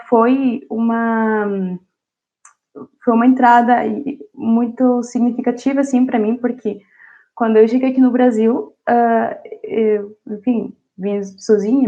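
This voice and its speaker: A woman from Venezuela, a native Spanish speaker, telling her story in an interview in a reflective way with many fillers and restarts. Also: a little high. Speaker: interview guest, university student. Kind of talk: casual interview answer. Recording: dull lo-fi audio.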